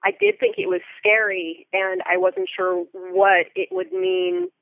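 Enthusiastic woman being interviewed, crackly fry in her voice, chatty and articulate, thin narrow call-like audio. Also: mid-range voice, very animated, a little slow, dry recording. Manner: enthusiastic, articulate, thinks aloud. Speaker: theatre company member and Foley artist guest. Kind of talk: conversational interview answers.

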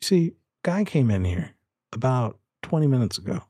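A man voicing a world-weary, disinterested hotel desk clerk with slangy, deadpan talk in a tabletop audio drama. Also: mid-range voice, moderate pace, slightly rough, no echo, studio quality, voice pushed up. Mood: weary, unhelpful.

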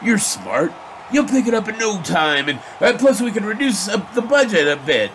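Nervous babbling young beau, old-timey drama, highish lively voice, some background noise. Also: male voice, moderate pace. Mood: nervous, eager. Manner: stammering, rambling, eager. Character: earnest, babbling young 1920s suitor and announcer. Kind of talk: period audio drama acting. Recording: noisy outdoor ambience behind.

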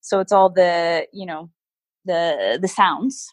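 A Canadian woman, a guest in an interview, explaining things patiently in casual chat, modest and quick to laugh at herself. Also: on the low side, dry recording. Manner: self-deprecating, laughs often, explains patiently.

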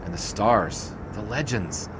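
An actor playing a dashing, wordy 1920s sports writer who banters flirtatiously in a period audio drama. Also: male voice, on the low side, lively intonation, takes it slowly. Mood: warm, smitten. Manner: florid, bantering. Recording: clear outdoor street and car noise.

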